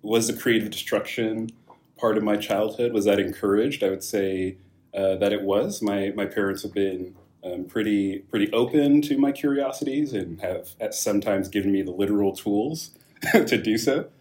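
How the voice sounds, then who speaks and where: A deep-voiced young man from California talks through his answers at an easy pace, pausing on fillers and restarting his phrases. He laughs lightly now and then as he explains things in a casual interview. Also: a little mellow. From California, USA. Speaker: guest, wine company founder.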